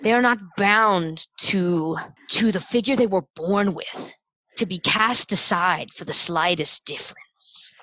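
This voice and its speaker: A woman voicing a proud, bitter androgynous half-elf villain in a tabletop game, speaking theatrically with deliberate pauses and breathy dips into whispers. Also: fairly low pitch, sharp tone, no echo, poor audio. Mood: haughty, menacing. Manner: slow deliberate pauses, disdainful monologuing.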